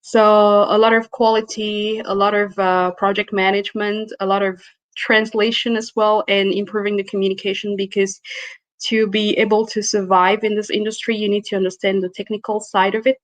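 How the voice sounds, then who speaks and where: A woman's voice: mid-range voice, level tone, moderate pace, dry recording. Woman in her thirties, dry sound, interview guest, laughs easily. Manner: thoughtful, laughs easily, with restarts. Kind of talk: interview answers.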